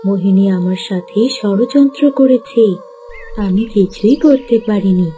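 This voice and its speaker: A woman's voice: mid-range voice, a little slow, dark tone, highs cut off, faint music behind, lightly acted. A woman voicing a wronged, protective mother turned into a bird, speaking earnestly and dramatically in a fairy-tale cartoon. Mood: sorrowful, determined.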